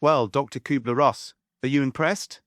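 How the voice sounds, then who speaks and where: A man plays a sarcastic, impatient figure of authority in a stage musical comedy read. His voice sits at an average pitch in a dry, close sound, with irreverent bite. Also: fairly even intonation, moderate pace, fairly bright tone, no room sound, natural voice. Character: snarky, impatient, irreverent male boss on a throne. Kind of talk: script table read, musical play.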